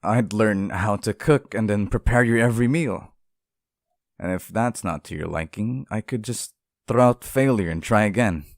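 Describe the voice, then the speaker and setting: Demon lover for ASMR roleplay, played by a man: very low, gravelly, unhurried, tender and possessive. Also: dry recording, studio quality. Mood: doting, eager. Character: devoted, possessive male demon sealed in a cage, sweet but menacing.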